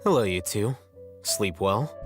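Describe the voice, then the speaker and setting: A man voicing a self-assured, coolly logical young scholar in an anime game, speaking in measured, articulate sentences. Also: fairly low pitch, animated. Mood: composed. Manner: measured, articulate, matter-of-fact. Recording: game music behind the voice.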